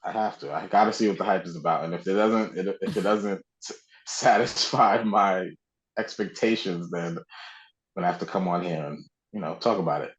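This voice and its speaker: Deep-voiced man talking games, dull audio. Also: normal speed. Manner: informal, conversational, frequent "like". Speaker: podcast co-host. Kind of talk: casual video game discussion.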